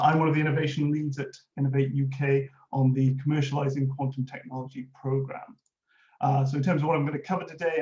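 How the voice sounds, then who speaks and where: A man's voice: mid-range voice, warm tone. A man with a Welsh accent giving a conference talk, explaining a technical subject with frequent filler sounds. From Wales. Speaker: conference speaker, innovation lead. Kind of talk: presentation talk with slides. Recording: roomy, dull lo-fi audio.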